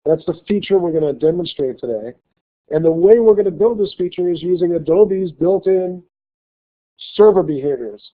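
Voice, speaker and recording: A male college instructor giving a classroom lecture with a slight cold, talking haltingly with many fillers and restarts. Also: high-pitched, expressive. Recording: tinny phone-like lecture recording.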